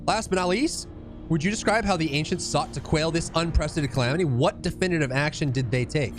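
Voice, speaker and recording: A man voicing an earnest, formal scholar from a fantasy game, reading the lines in an inquisitive, deliberate manner. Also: fairly high pitch, music in the background, lightly acted.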